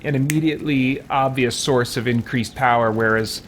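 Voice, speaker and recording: A man's voice: medium pitch, steady tone, moderate pace. Casual male gamer musing aloud, faint noise. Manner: musing aloud, hesitant deliberation. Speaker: video game player and commentator. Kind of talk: casual let's play commentary.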